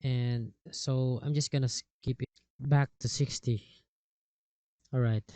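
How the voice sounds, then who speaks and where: A man giving a casual software tutorial in a halting, step-by-step way, speaking into a cheap microphone. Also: medium pitch, fairly even intonation, no echo. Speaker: tutorial presenter. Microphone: cheap microphone with software processing.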